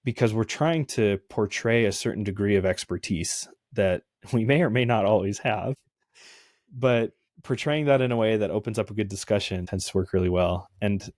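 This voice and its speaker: A man's voice: lowish voice, normal speed, a little mellow, no echo, studio quality. A young man, an indie game developer, talking casually in an interview and rambling with many fillers and restarts.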